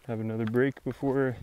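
Young male hiker vlogger, mid-pitched, casual and cheerful, phone recording outdoors with light background noise. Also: warm tone, rough recording. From Florida. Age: young adult. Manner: upbeat, chatty, thinks aloud with fillers. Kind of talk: casual vlog narration. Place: forest trail outdoors. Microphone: phone at arm's length.

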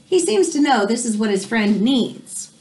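An American woman in her thirties reading a children's nonfiction book aloud at home, pausing to explain things simply like a teacher. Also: fairly high pitch, very animated, a little mellow. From United States. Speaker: read-aloud host for children. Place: small room at home, indoors.

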